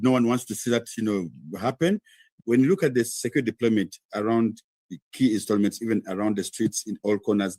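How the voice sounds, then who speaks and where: A man's voice: on the low side, a little mellow, slightly rough, dry recording. A man from Uganda reporting live as a correspondent in a news interview, explaining at length with frequent fillers.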